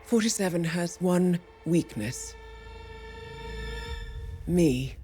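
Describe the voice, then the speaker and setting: A cool, cunning female spymaster from a video game. Her voice sits low and moves expressively, with deliberate, dramatic phrasing. Music plays behind her. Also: lively intonation. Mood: cool, persuasive. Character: poised, cunning female intelligence handler, cool and confident. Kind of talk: cinematic video game acting.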